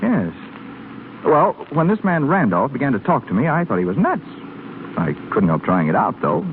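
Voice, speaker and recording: Brash 1930s radio leading man played by an actor, very animated. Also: medium pitch, normal speed, close to an everyday voice. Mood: earnest. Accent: American. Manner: glib, pleading, theatrical. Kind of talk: 1930s American radio drama acting. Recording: noisy, narrow-band 1930s radio broadcast.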